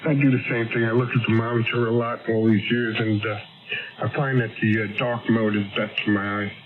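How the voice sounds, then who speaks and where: A man's voice: fairly low pitch, level tone, fairly quick, narrow, tinny audio. An amateur radio operator, a man, chatting in an easygoing way over the air. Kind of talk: casual on-air radio chat. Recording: radio transmission with loud static.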